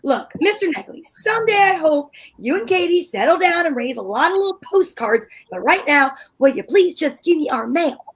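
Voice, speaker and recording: A woman's voice: high-pitched, lively intonation, normal speed, few pauses. A woman playing an anxious, pushy housewife in old time radio comedy style, insistent and impatient.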